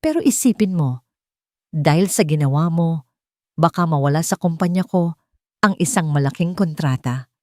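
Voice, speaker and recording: A woman's voice: low voice, lively intonation, moderate pace, pauses often, dark tone, no echo, clean studio sound. Woman voicing a cold, commanding rich CEO. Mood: cold, pressuring. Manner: cold, controlled, insistent. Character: cold, commanding wealthy male CEO pressing a deal.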